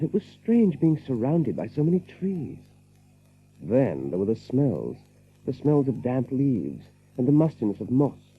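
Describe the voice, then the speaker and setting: Male narrator for dramatic tale. Expressive, animated delivery; tinny, humming recording. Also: medium pitch, slightly rough. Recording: tinny narrow-band audio with hum.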